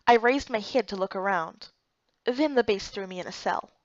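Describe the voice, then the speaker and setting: A woman's voice: medium pitch, normal speed, no echo, highs cut off. A woman reading scripted first-person story narration for a game playthrough.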